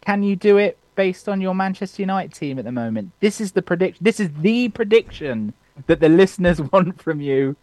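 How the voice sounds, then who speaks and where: A man's voice: high voice, animated, normal speed, a little mellow, no echo. A man hosting a casual football podcast, teasing his co-hosts and laughing often. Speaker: podcast host. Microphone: remote call.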